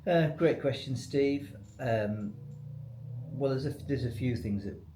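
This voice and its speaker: A man's voice: medium pitch, unhurried, mellow, faint music behind. A man speaking as a company expert in an interview, explaining business solutions conversationally. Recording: echoey room, faint background noise.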